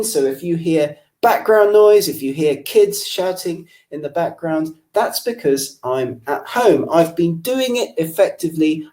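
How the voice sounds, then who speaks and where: Man, English accent with a slight Irish lilt, mellow dark tone, friendly explanatory webinar presenter, home office, computer mic. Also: on the high side. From England. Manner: friendly, explanatory, addresses the audience directly.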